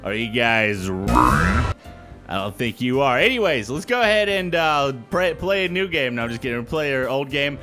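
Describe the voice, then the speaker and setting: A man gives casual commentary as host of a gaming video. His voice sits at an average pitch and his talk is informal and jokey. Music plays behind him. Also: expressive, normal speed. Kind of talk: casual let's play commentary, reading aloud.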